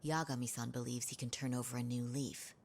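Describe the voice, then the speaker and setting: An actress playing a calm, thoughtful professional woman in a video game, measured and reserved. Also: very deep voice, gravelly, a little hiss or hum. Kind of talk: English video game dub, cutscene acting.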